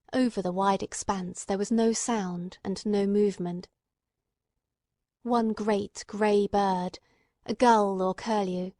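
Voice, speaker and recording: A woman reading scripted literary narration as an audiobook narrator, in a measured, carefully phrased storytelling manner. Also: medium pitch, a little mellow, dry recording, clean studio sound.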